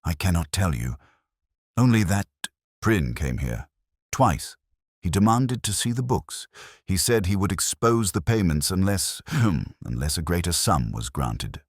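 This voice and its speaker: A man voicing a frightened, evasive printer in an audiobook, halting and pleading. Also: low-pitched, animated, slightly rough, no echo, studio quality, pitched above the performer's own voice, full character voice. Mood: reluctant, fearful. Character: frightened, evasive male printer, cornered and trembling.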